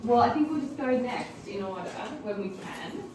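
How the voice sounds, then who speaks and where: A woman's voice: fairly high pitch, expressive, moderate pace. A woman giving casual direction and chatting as an audio drama director. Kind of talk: casual studio chat and direction. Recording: distant, echoey room, clear background noise.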